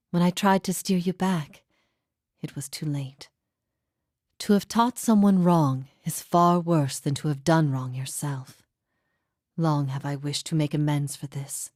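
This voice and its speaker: Fantasy game spirit: martyred female heretic, low register, formal and grave, steadfast yet forgiving, breathy phrase endings, dry close sound. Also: a little mellow, no echo. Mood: regretful, hushed. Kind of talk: scripted fantasy game dialogue.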